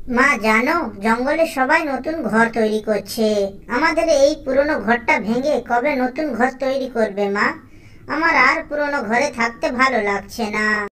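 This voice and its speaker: A woman's voice: high-pitched, measured pace, fairly bright tone. A woman voicing a small, childish, timid young girl bird in a children's cartoon. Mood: wistful. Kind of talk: Bengali children's cartoon dubbing.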